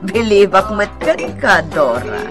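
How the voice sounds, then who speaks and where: A woman's voice: medium pitch, sing-song, unhurried, over music. An actress in an Ilocano radio drama playing a teasing, gossipy woman friend with a drawling delivery.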